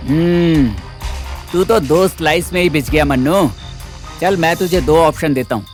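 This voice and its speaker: Casting: male actor as young sadistic thug, higher-pitched voice, mocking mock-polite delivery, unhurried, music and crickets underneath. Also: darkish tone, lightly acted. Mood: gloating, mocking. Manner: taunting, sneering mock politeness, chuckling. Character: sadistic, mocking knife-wielding male villain, young, cocky. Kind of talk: Hindi audio drama acting.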